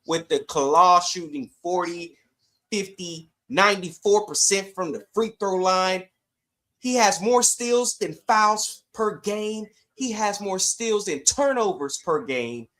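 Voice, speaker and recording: A man's voice: high-pitched, a little slow, fairly bright tone. A man co-hosting a sports podcast, chatting casually in slangy, emphatic bursts.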